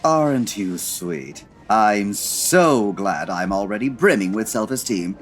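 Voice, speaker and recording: A man's voice: lowish voice, lively intonation, slow pace. Guy playing campy dramatic department store jack frost, effusive, swoopy voice, music under it. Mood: anxious, dramatic. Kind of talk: comedy audio drama acting.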